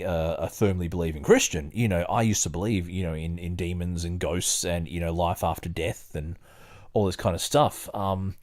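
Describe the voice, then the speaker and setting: Male podcast host, lower voice, casual and halting, reads text aloud between digressions. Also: darkish tone. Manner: hesitant, halting, digressive when talking. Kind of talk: casual chat and reading aloud.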